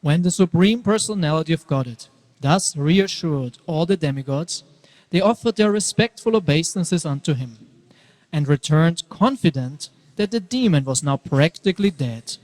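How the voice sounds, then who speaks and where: A man's voice: fairly high pitch, expressive, normal speed, a little mellow. A man narrating a scripted devotional story as part of a dramatic reading. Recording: hall with long reverb.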